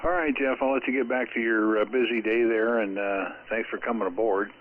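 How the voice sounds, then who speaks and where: A man chatting casually as an amateur radio operator, in a rambling, halting way. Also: medium pitch, steady tone. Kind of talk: casual ham radio chat. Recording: dull, faintly noisy amateur radio transmission.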